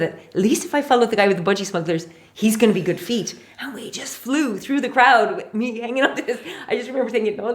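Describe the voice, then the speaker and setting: A woman in her forties from Canada, a physiotherapist guest telling stories in a chatty, animated way in a casual interview. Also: mid-range voice. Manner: chatty, animated storytelling with laughs.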